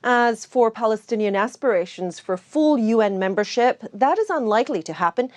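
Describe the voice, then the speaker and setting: A woman news correspondent delivering formal, measured broadcast reports. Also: a little high, lively intonation, darkish tone, no echo.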